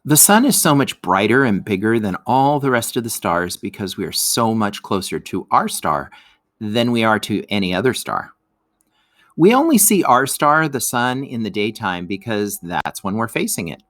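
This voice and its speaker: A man's voice: fairly low pitch, very animated, moderate pace, fairly bright tone, dry recording, studio quality. A man reading a scripted educational lesson aloud for children, explaining things expressively and speaking directly to his young listeners.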